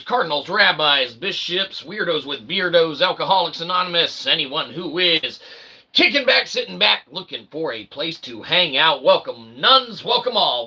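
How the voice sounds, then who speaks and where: Very bright-voiced man rambling theatrically. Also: fairly high pitch, slightly rough. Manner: wordy, theatrical, pompous phrasing. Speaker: host of a philosophy comedy livestream. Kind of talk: rambling mock-grandiose livestream monologue.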